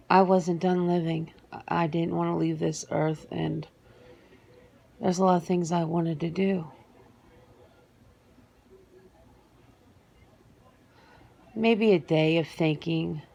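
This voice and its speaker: A woman playing a guarded, earnest werewolf answering interview questions in an ASMR roleplay, speaking haltingly and matter-of-factly. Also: on the low side, fairly even intonation, vocal fry, warm tone, dull audio. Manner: hesitant, matter-of-fact, halting with pauses.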